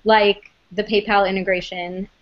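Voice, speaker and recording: A woman's voice: mid-range voice, little pitch movement, normal speed. Muffled woman hosting a casual web chat. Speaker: host of a software company's web show. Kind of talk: casual live web interview.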